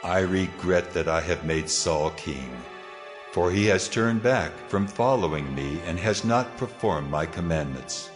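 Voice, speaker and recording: God as a very deep, weighty presence. The delivery is grave and sorrowful, in the manner of Bible audio drama. Music runs clearly beneath. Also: male voice, animated, measured pace. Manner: solemn, weighty. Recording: music under the voice.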